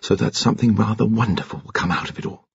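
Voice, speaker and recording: A man voicing a tender, earnest young lover in an audiobook, soft and reassuring. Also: on the low side, fast talker, vocal fry, husky, no room sound, dull audio, heavily acted.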